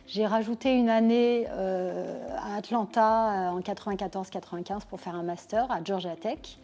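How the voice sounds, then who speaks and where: Mellow-voiced woman in her forties. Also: medium pitch, steady tone. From Lyon, France. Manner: measured, thoughtful, frequent pauses. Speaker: business owner interviewee. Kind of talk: personal career account to camera.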